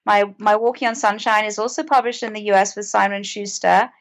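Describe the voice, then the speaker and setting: A woman's voice: mid-range voice, fairly even intonation, brisk. A woman with a British accent speaking as an interview guest, earnest and conversational, often repeating words as she goes. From United Kingdom. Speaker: podcast guest, author and mental health advocate.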